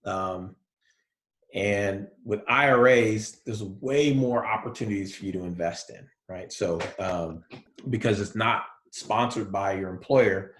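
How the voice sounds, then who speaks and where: A male college professor giving a relaxed, soft-spoken lecture online, with many fillers. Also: fairly low pitch, echoey room.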